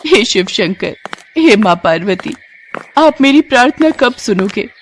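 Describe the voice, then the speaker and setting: A woman's voice: on the high side, lively intonation, a little slow, a little hiss or hum. A woman voicing a devout, meek young daughter-in-law who speaks respectfully and pleadingly in a devotional story. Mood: tearful pleading.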